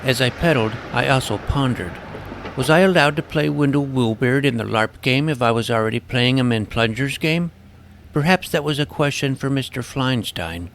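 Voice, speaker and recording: A man's voice: medium pitch, moderate pace, fairly bright tone, slight background noise. A man narrating a first-person scripted audio drama as its young protagonist, earnest and precise.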